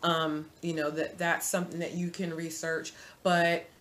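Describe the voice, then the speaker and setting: A woman in her forties giving casual dating advice to viewers from her home, chatty and animated, calling the viewer sis. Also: low-pitched, a little slow. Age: 40s. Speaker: vlogger. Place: home room, indoors.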